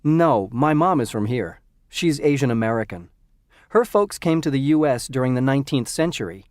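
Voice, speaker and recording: Man voicing a friendly young male student, clearly enunciated, animated pitch, dry close sound. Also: normal speed, dry recording, natural voice. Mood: chatty. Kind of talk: scripted textbook dialogue. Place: recording studio.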